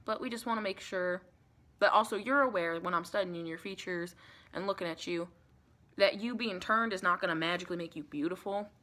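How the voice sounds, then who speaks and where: A woman playing a friendly, folksy female vampire in a soft-spoken ASMR roleplay, chatty and reassuring in a rambling, colloquial way. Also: fairly low pitch, a little fast. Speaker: ASMR performer.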